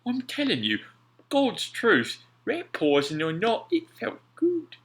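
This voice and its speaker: A man voicing an excitable, earnest common seaman with rough talk in a storybook reading. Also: high-pitched, slow pace, pitched above the performer's own voice, heavily acted. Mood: pleading.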